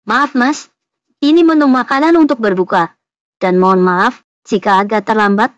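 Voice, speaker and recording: Casting: actress for young cartoon waitress, submissive and apologetic, higher register, slightly muffled lo-fi recording. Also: female voice, moderate pace, no room sound, lightly acted. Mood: apologetic, flustered. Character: meek, apologetic young female hotel waitress. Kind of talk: cartoon dialogue.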